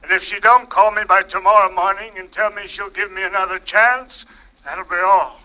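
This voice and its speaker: An actor with an American accent playing a desperate, threatening jilted gambler, pushy and curt, in a 1940s radio drama. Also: male voice, sits high, steady tone, moderate pace, pushed and bright. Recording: muffled old radio recording.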